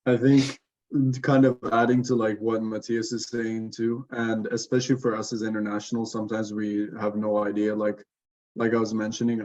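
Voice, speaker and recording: A young man from Sweden chats casually in an online session and fills his sentences with "like." His voice sits a little low and flat. The audio sounds dull and lo-fi. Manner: casual, with lots of "like" filler. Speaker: medical student and mentor. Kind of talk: casual webinar talk.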